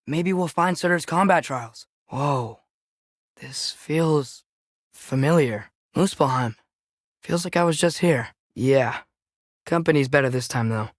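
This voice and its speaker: A man's voice: a little high, slow pace, no echo, clean studio sound. A young man playing an eager, earnest, curious teenage boy, a companion in a video game, fighting beside his father. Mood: surprised.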